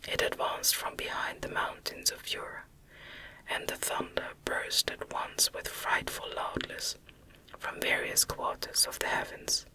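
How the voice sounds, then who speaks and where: A woman whispering a classic Gothic novel as an ASMR audiobook, hushed and measured, close to the microphone. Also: poor audio. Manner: hushed, measured, unvoiced delivery. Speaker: audiobook reader.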